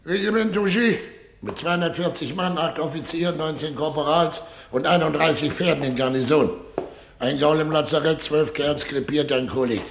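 A rattled old general in a radio play, gravelly and halting, tripping over his words with deference. A roomy echo hangs around him. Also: fairly high pitch, a little hiss or hum. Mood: reporting. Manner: stammering, hesitant, deferential. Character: old, flustered male army general. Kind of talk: radio drama acting.

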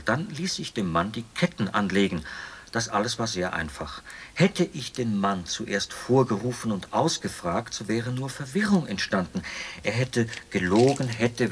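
An actor playing a zealous, meticulous officer in a radio drama, explaining things in a precise, matter-of-fact way. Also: male voice, fairly low pitch, a bit bright, slight background noise. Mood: matter-of-fact, self-assured. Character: zealous, meticulous male officer proudly explaining an execution machine. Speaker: officer and executioner. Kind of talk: German radio drama acting.

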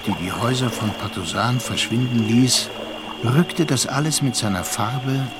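An actor playing a reflective, worldly gentleman narrator in a literary radio drama, speaking measured standard German. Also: mid-range voice. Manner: measured, contemplative, deliberate phrasing.

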